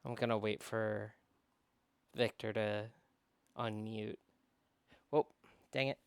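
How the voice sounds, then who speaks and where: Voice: mid-range voice, steady tone, slow pace, vocal fry, smooth, no echo. A co-host chatting and reacting casually on a stream where visual novels are read aloud.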